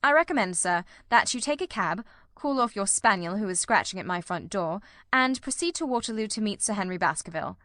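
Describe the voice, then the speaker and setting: A woman voicing a brisk, dryly witty male detective in a Victorian story, with precise, commanding, formal speech. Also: medium pitch, expressive, a bit bright, no room sound, clean studio sound, lightly acted. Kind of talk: dramatised reading of a Victorian detective novel.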